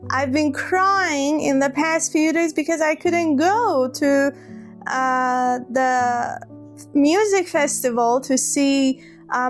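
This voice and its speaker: A woman telling a personal story to camera, hurt and hesitant, often restarting her words. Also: high-pitched. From Iran. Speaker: vlogger.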